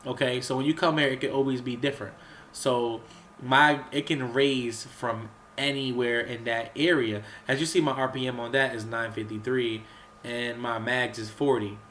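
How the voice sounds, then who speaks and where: A man who is under the weather talks through a video game in a loose, rambling way, coughing and clearing his throat between phrases. His voice has an average pitch and sounds a little off from his cold. A faint hiss of noise sits under the recording. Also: fairly even intonation, moderate pace, a bit bright. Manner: rambling, with many fillers and restarts. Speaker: gaming channel host. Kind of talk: casual gameplay walkthrough commentary.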